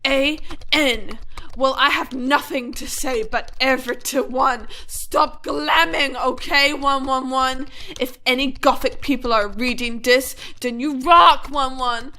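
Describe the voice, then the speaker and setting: A woman's voice: sits high, steady tone, slow, sharp tone, heavily acted. A woman voicing a childish, gushing teenage goth fangirl author who reads text slang out literally in a parody note.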